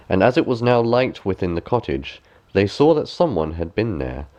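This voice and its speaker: Animated low-voiced man reading a fairy tale. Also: highs cut off. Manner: expressive, animated storytelling. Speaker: storybook narrator. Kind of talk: scripted fairy tale narration, bedtime reading.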